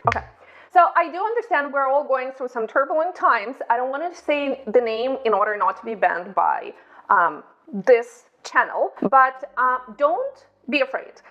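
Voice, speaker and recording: A chatty woman with a Ukrainian accent walks viewers through her cooking staples in a home kitchen. Her voice sits high, and the recording sounds slightly dull and lo-fi. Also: animated, normal speed. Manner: upbeat, chatty, slightly non-native phrasing. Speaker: cooking channel host. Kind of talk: casual cooking demonstration to camera.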